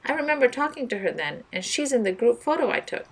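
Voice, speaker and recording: A woman's voice: a little high, big pitch swings, quick pace, creaky, breathy edge, far from the mic, voice pushed up, exaggerated voice. Young girl detective for a children's mystery, read by a woman; earnest, logical, natural delivery. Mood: reasoning. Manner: earnest, reasoning aloud. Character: thoughtful, determined girl detective.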